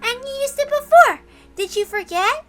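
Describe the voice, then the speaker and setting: Woman doing a really high little witch girl, bright and eager to explain stuff, visual novel read. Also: animated, normal speed. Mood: earnest, reassuring. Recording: music behind the voice.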